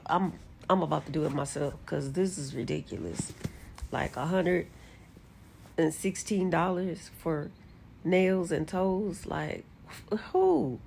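Middle-aged lady vlogging from bed on her phone, low gravelly voice, stuffy from allergies, laid back but gets worked up. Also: slight background noise. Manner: relaxed, chatty and candid, with sudden exasperated rises. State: congested from allergies. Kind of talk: casual chat to camera. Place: bedroom, sitting on a bed indoors. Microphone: phone camera held close.

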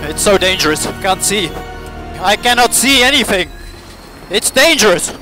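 High, swooping, agitated driver on team radio, male. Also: animated, moderate pace. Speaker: racing driver. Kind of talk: exclaiming over team radio. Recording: race radio over music and car noise.

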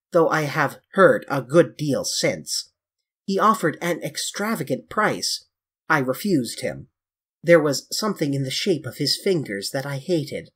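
Man acting earnest gentleman painter, grave, solemn, pleading, rough-edged voice, expressive intonation, audiobook character. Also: heavily acted. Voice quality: deep.

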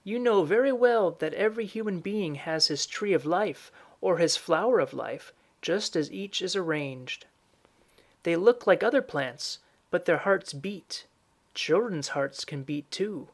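A storybook crone, as a male narrator puts her on, old and knowing. Her voice sits raised and high, and she speaks in measured, instructing phrases like someone who drives a bargain. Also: expressive, exaggerated voice. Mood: solemn, knowing.

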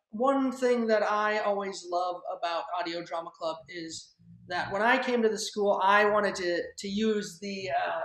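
A woman's voice: mid-range voice, gravelly, fairly bright tone. A young woman speaking informally as a student club writer, making an announcement on a video call. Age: young adult. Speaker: head writer of student audio drama club. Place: remote video call indoors. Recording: echoey room over video call.

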